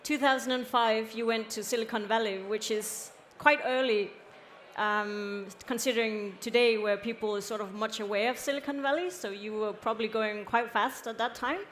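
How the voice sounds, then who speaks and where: Curious woman interviewer, light high voice. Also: steady tone, normal speed, fairly bright tone, slight background noise. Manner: friendly, curious questioning with small laughs. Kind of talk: conversational stage interview. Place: conference stage.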